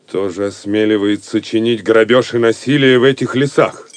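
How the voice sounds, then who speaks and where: An actor playing a bold, decisive outlaw archer chief in an audio drama, giving clipped, authoritative orders. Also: male voice, lowish voice, moderate pace, no echo, lightly acted. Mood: challenging.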